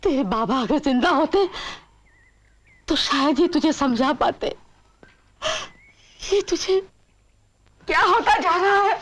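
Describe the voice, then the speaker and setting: A woman's voice: high-pitched, lively intonation, measured pace, long pauses. An actress playing a pious older mother in a period drama, scolding her grown son with emotional reproach. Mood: tearful scolding. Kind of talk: Hindi period drama acting.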